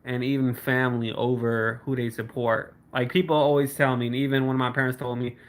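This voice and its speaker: A man's voice: mid-range voice, flat delivery, fairly quick. A man in his late twenties hosting a casual livestream, chatting with viewers by name and laughing often. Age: 20s. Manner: laid-back, laughs often, talks to chat by name. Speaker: livestream host. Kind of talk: casual livestream chat reading comments.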